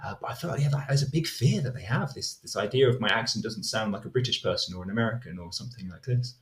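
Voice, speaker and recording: A man's voice: fairly low pitch, quick pace, fairly bright tone. Chatty man on a roomy line. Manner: chatty, reflective, many fillers and restarts. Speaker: English teacher guest. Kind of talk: podcast interview, conversational. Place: lives in Spain.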